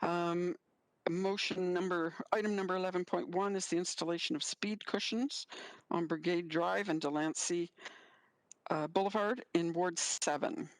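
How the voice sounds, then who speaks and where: A woman chairing a council committee, running through votes and motions in a brisk, procedural way. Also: lowish voice, fairly even intonation, no room sound, low-quality recording.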